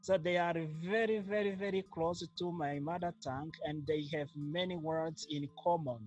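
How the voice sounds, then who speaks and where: A man with a Rwandan accent whose first language is Kinyarwanda, answering interview questions slowly and carefully, with many pauses and fillers. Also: on the high side, steady tone, dull audio, soft background music. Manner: slow, measured, with frequent fillers. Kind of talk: casual interview.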